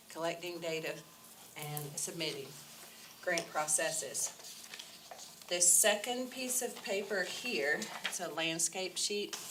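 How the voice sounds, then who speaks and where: A woman walks a council through a county budget, measured and formal, pausing on ums. Her voice sits low and sounds stuffy from a head cold. The meeting-room recording carries a steady background noise. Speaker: county administrator presenting budget. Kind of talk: budget presentation at public workshop. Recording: noisy public meeting recording.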